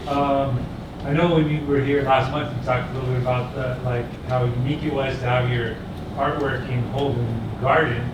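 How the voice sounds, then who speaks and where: A man of middle pitch moderating a public talk, feeling his way through each question. He sounds far from the mic in a strongly echoing room, with loud noise behind him. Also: level tone, normal speed. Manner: hesitant, with fillers and rephrasing. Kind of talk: interview questions at a public event. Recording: very echoey, distant, noisy room.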